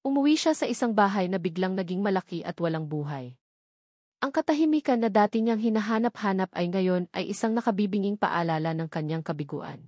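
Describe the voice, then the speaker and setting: Female Filipino narrator for dramatic romance fiction; mid-range voice, solemn measured delivery, very dry recording. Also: mid-range voice, lively intonation, a little mellow, no echo. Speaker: story narrator.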